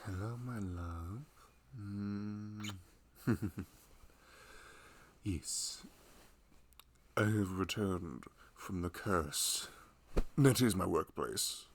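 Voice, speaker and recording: A big, loving husband played by a man speaks low and gravelly in an intimate ASMR roleplay. He is tender and playful, gently fussing over his pregnant wife. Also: very slow, pauses often, a little hiss or hum. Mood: tender, tired.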